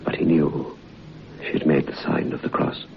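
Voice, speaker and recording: A man narrating an old radio drama in a measured, ominous way. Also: a bass voice, level tone, creaky. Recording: dull old radio recording with hiss.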